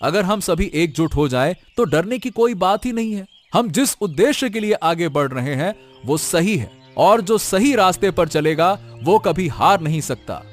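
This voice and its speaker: A man's voice: high voice, expressive, moderate pace, hardly any pauses, soft background music. A man voicing a determined village man who rallies others in an earnest, rousing way. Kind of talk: cartoon story voice acting.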